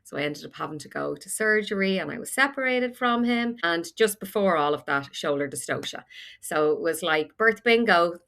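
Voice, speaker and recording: A woman's voice: on the low side, expressive, moderate pace, gravelly, a bit bright. A woman in her forties with an Irish accent, a podcast guest telling her story frankly with self-deprecating humour. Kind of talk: candid storytelling chat.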